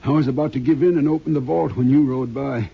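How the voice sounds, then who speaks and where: Husky, groggy frontier banker. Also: male voice, mid-range voice, steady tone, quick pace. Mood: groggy, rueful. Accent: American. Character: groggy, rueful townsman banker in the Old West. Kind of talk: 1950s radio western acting. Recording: muffled 1950s radio recording.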